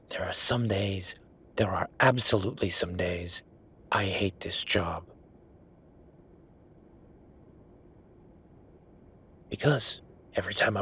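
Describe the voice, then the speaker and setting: A man's voice: fairly low pitch, sharp tone, breathy edge, full character voice. A man playing an angry, bitter werewolf boyfriend who vents with sweary sarcasm in a dramatic audio roleplay monologue. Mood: bitter, sarcastic. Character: angry, exasperated male werewolf boyfriend, bitter office worker.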